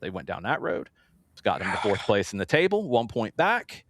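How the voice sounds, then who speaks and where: A man's voice: fairly low pitch, big pitch swings, moderate pace, a bit bright, no echo, studio quality. A man hosting a sports show, talking casually through soccer match previews and steering the discussion.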